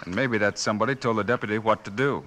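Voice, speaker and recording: A man playing a steady, self-assured Old West marshal in a 1950s radio drama, cool and matter-of-fact, with an American accent. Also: lowish voice, fast, lightly acted. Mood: deliberate, reasoning. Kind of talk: 1950s radio western acting. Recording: noisy old radio recording.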